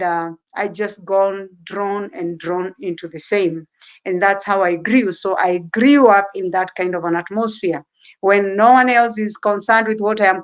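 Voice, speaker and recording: A Kenyan woman guest in an interview, talking earnestly about her early life, often repeating her phrases. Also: medium pitch. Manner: earnest, repetitive, fervent. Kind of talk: interview storytelling. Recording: muffled lo-fi audio.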